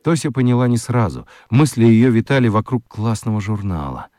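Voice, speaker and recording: A man narrating a children's radio story with dramatic, expressive delivery and deliberate pauses. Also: mid-range voice, no echo, clean studio sound.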